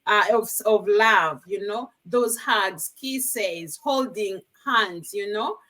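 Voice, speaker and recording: A woman from Uganda speaking as a guest on a live video call, effusive and quick to laugh. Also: mid-range voice, bright, forceful tone. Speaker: panel guest.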